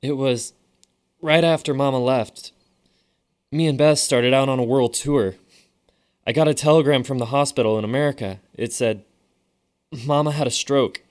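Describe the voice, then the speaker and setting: A man's voice: mid-range voice, a little mellow, lightly acted. A man playing a theatrical showman magician and grieving son in an audio drama.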